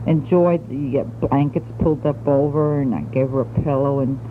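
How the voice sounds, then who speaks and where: A woman's voice: extremely low pitch, a little fast. Thin muffled 1960s tape, slight noise, young adult woman from the United States, chatty, hesitant, informal family letter. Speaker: wife and mother recording a taped letter. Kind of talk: casual spoken letter to family. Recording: muffled, noisy 1960s home cassette tape.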